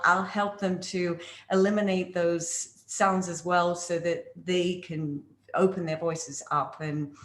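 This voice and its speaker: A woman voice coach explaining her methods in a casual interview, talking expressively and demonstrating high and low pitch. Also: roomy sound. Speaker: voice coach guest.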